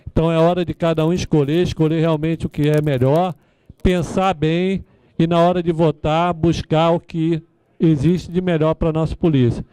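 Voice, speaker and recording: A man's voice: a little high, steady tone, normal speed, dark tone, clean tone, dry recording, poor audio. A Brazilian man giving an informal endorsement speech, repeating himself and often seeking agreement with tag questions. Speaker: former police administration official speaking at a candidate forum.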